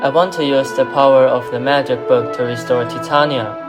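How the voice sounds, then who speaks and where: A man acts a fairy king of the forest in a radio play. The voice is medium in pitch, stately and tender, with clear music behind. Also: fairly even intonation, a little fast, natural voice. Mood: commanding. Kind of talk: amateur radio drama acting.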